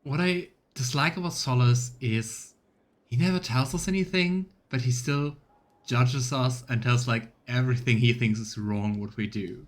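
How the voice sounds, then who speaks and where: A man's voice: medium pitch, normal speed. A man chatting casually as a let's play host, musing and laughing easily. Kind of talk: casual gameplay commentary.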